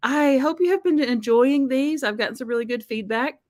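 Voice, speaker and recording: A woman's voice: sits high, a little fast, a little mellow, no echo, clean studio sound. Host: chatty, upbeat Southern woman on a video call. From Oklahoma, USA. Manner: chatty, upbeat, laughs often. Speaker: show host. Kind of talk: casual video chat.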